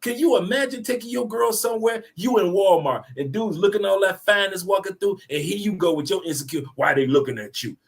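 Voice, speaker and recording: A man's voice: high voice, brisk, creaky, hardly any pauses. A man in his fifties lecturing emphatically in a live discussion, repeating his points for force. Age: 50s. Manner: preachy, emphatic, repeats phrases. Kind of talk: passionate call-in discussion.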